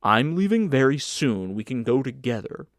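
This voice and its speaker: Audiobook role: gruff ship's stoker, male, vigorous and argumentative, medium pitch, put on by a male reader. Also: big pitch swings, moderate pace, no room sound, studio quality. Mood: blunt, insistent. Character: gruff, blunt, proud grown German ship's stoker, a man. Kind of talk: audiobook character acting.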